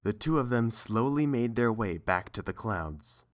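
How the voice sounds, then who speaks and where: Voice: mid-range voice, expressive, a little slow. A narrator reading scripted story prose.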